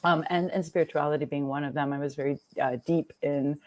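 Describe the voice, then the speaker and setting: Low-voiced woman telling her story. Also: brisk. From Texas, USA. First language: Lithuanian. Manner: animated storytelling, laughs often, frequent restarts. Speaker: guest, writer and nonprofit founder. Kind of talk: conversational interview.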